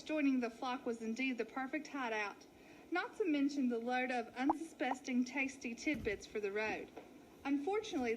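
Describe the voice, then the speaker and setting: A woman's voice: on the high side, slight background noise, rough recording. A woman narrating a children's story aloud in a theatrical, playful way. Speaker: storybook reader at a school.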